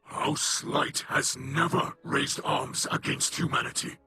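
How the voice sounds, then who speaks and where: Slow, rasping, weary alien leader. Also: male voice, a little high, steady tone, bright, forceful tone, faint music behind, heavily acted. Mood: aggrieved, pleading. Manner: slow, grave, measured. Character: solemn, weary male alien leader pleading for his people. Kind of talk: dramatic game character dialogue.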